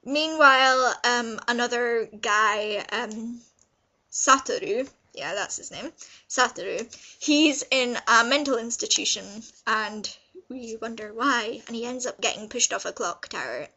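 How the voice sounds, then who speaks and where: A woman's voice: a little high, unhurried, sharp tone. A young woman chatting casually as she gives a review, in a gushing, informal, rambling way. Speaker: vlogger reviewing visual novels. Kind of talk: casual review chat to camera.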